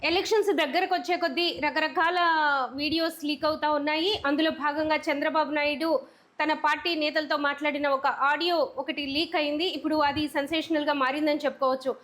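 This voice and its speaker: Female political news presenter, high-pitched, wordy explanatory commentary. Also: fairly even intonation, a little fast, a bit bright.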